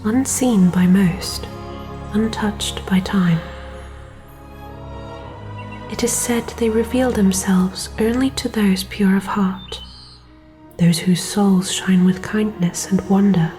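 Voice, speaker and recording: A gentle, solemn storyteller of fairy legend, voiced by a woman, speaks in a hushed, breathy murmur. The delivery is slow and mellow, in fantasy ASMR style, over faint music. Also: medium pitch, lightly acted. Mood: hushed wonder. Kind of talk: cinematic fantasy ASMR narration.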